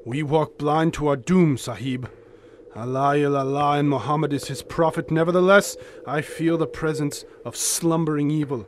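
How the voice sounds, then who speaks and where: A man acts out a superstitious, loyal desert guide in an audio drama. The voice sits somewhat high for a man and speaks in solemn, ominous, old-fashioned phrases. Ambient noise surrounds it. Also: moderate pace, natural voice. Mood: foreboding.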